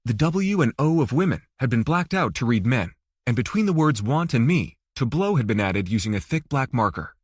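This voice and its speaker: Expressive male audiobook narrator, slightly husky. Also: medium pitch, normal speed, few pauses, dry recording, studio quality. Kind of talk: scripted fiction narration.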